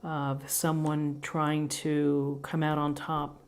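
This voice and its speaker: Slow, even-toned woman reading tarot. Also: low voice. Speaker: tarot reader, host. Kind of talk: unscripted tarot reading, explaining cards.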